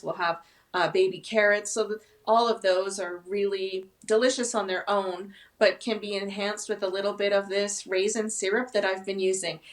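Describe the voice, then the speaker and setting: A woman chatting casually as a food expert guest in an interview. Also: mid-range voice.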